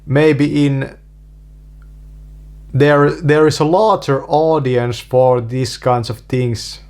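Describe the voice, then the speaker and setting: A man with a Finnish accent speaks English slowly and haltingly, circling back over his own words. His pitch sits in an ordinary middle range. He talks to the camera as a relaxed, unscripted monologue. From Finland. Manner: halting, many restarts and repeated fillers. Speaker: video creator.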